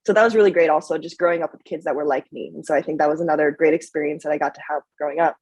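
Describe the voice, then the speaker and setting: A young woman with Japanese as her first language talks quickly and openly as a panel guest. Her voice has a husky edge, and the sound is dry and close. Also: low voice, darkish tone, no room sound. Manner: open, conversational, reflective. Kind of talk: casual panel talk.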